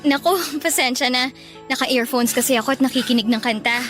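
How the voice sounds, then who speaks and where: Very high, bright young evasive student. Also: female voice, steady tone, a little fast, soft background music. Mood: evasive, apologetic. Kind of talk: radio drama dialogue acting.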